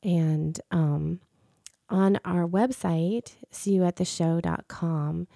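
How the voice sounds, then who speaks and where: A woman's voice: fairly low pitch, slow pace, gravelly, mellow, no echo. A middle-aged woman hosting a radio show solo from the studio, chatty with many ums and restarts, her voice a little off after being ill. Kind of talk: solo casual radio talk.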